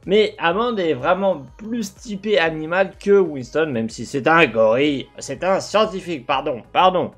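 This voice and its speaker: Young male gaming host, lively intonation, halting casual commentary over faint music. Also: on the high side. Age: young adult. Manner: animated, halting, asks himself questions. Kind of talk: casual speculative commentary to viewers. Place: indoor gaming setup.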